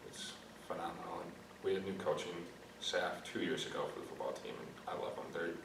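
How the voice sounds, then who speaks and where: A man's voice: sits low, fairly quick, background noise. A man in his twenties from rural Wisconsin, answering interview questions in an easygoing, plain-spoken way. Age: 20s. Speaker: student guest. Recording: echoey room, mic at a distance.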